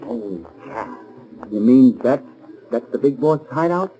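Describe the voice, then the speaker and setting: A superstitious gangster sidekick played by a man talks in nervous street slang. The voice is mid-pitched and mellow-dark, acted in 1930s radio crime-drama style. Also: animated, a little slow, lightly acted, low-quality recording. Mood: uneasy. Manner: jittery, slangy tough talk.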